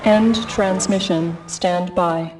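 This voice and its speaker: A woman's voice: lowish voice, fairly even intonation, slow pace, darkish tone. A woman voicing an impersonal military research announcer in a game, reading in a formal, clinical, detached way. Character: impersonal female military computer narrator giving research reports. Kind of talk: scripted game briefing narration. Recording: distant, noisy game transmission audio.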